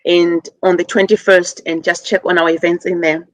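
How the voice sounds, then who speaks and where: A middle-aged woman from Zimbabwe, a guest on a talk show, speaking earnestly with many pauses and fillers as she appeals for help. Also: lowish voice, level tone, no room sound. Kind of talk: call-in interview.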